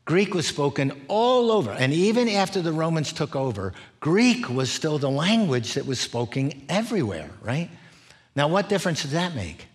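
A man's voice: a little high, very animated, moderate pace. A middle-aged man preaching in an explanatory way, laying out points and often checking in with listeners. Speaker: preacher, former missionary. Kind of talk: expository sermon, teaching in numbered points.

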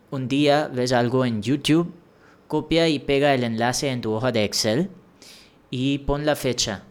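Guy from india doing a solo learning podcast, talks real slow, smooth clear voice, encouraging. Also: mid-range voice. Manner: slow, measured, encouraging explanations with small restarts. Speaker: language-learning podcast host. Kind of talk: solo podcast monologue giving advice.

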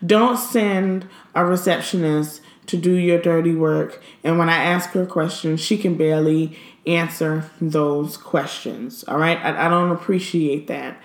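A pregnant woman in her thirties venting in a casual monologue, emphatic and indignant, slowing down to stress her points. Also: low-pitched, steady tone, roomy sound. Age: 30s. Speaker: pregnant vlogger.

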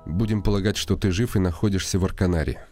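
A man's voice: deep voice, fast talker, a little mellow, natural voice. A man playing a weary, ironic nobleman in reflective inner monologue for a radio play. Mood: pondering. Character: weary, ironic, lonely nobleman, brooding inwardly. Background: music.

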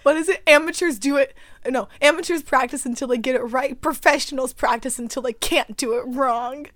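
A young woman with a raspy voice, chatting as a podcast co-host, rambling and giggling with lots of fillers. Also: high-pitched, brisk, fairly bright tone, dry recording. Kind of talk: casual chat.

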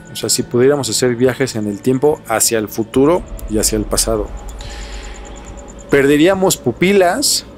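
A man's voice: mid-range voice, darkish tone. A man with a Mexican accent hosting a podcast, telling things conversationally and posing rhetorical questions as he speculates. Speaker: podcast host.

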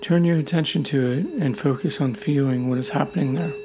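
A man leading a guided meditation in a soothing, deliberate way, leaving long pauses between short phrases. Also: on the high side, noisy background. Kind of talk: guided meditation, gentle instruction. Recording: loud humming meditation tones throughout. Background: gentle music with sine tone and singing bowls.

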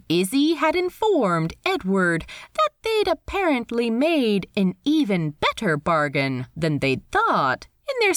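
A woman's voice: fairly low pitch, dry recording, studio quality. A woman reading a story aloud as scripted audiobook narration. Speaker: audiobook reader.

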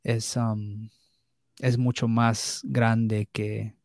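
A man's voice: on the low side, level tone, drawn-out words, creaky, darkish tone, no room sound. A young man, talking candidly on a podcast, hesitant, with restarts and slips into English words. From United States. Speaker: podcast co-host. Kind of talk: casual podcast conversation.

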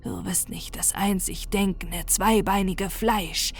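A woman reading an audiobook, voicing a mocking male being from another dimension in a slow, breathy, unvoiced whisper. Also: medium pitch, steady tone. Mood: scornful whisper. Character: eerie whispering otherworldly male being, scornful.

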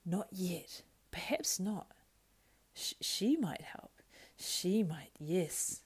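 A woman reading a storybook aloud and voicing a wretched, greedy male creature who argues with himself in hissing whispers and high whines. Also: very animated, drawn-out words, darkish tone, breathy edge, exaggerated voice. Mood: arguing with himself. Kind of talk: audiobook character voice.

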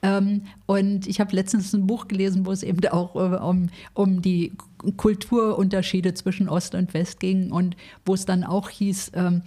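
A woman's voice: medium pitch, little pitch movement, normal speed, hardly any pauses, dark tone. A woman in her sixties from Berlin, chatting frankly as an interview guest, with many fillers and restarts. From Berlin, grew up in West Berlin. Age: 60s. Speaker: food bank manager, guest. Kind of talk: casual interview conversation.

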